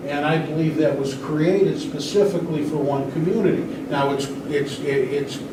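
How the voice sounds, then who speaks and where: Male panel speaker, explanatory and halting, slightly high voice; roomy, noisy recording. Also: steady tone. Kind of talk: informal panel discussion. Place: large room at a live event. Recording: echoey room, distant mic, noisy background.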